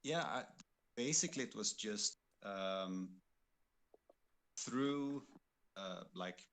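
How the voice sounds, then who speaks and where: A man speaking as a game developer guest in a casual livestream chat, with hesitant, halting phrasing. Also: lowish voice, lively intonation, fairly bright tone. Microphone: computer microphone on an online call with noise suppression.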